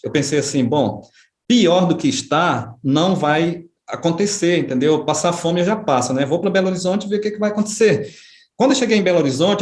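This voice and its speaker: A middle-aged Brazilian man telling his life story in an unhurried, reflective way, often adding small tag questions. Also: fairly high pitch, a little mellow. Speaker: interviewee, writer and history professor. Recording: echoey room.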